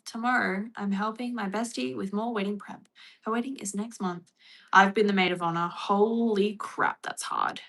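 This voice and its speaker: Australian woman, casual streamer, bright forward voice, chatty with viewers. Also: medium pitch, measured pace, poor audio. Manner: chatty, reads and answers viewer comments. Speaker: livestream host. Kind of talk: casual livestream chat.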